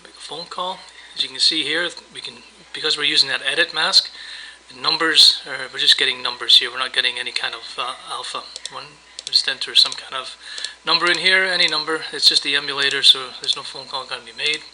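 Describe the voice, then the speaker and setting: Man, software tutorial presenter, sharp bright tone, casual step-by-step explaining, screencast narration, faint background noise. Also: mid-range voice, steady tone, brisk, poor audio. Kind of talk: screencast demo narration, semi-improvised walkthrough.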